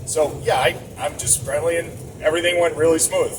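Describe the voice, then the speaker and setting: A man's voice: on the high side, steady tone, brisk. A man from the area, rambling casually and poking fun at himself while he drives his truck. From Boston area. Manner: rambling, chatty, self-mocking. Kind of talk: casual vlog while driving. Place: truck cab on the road. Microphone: camera mounted inside the cab. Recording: distant mic with road noise. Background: engine and road noise.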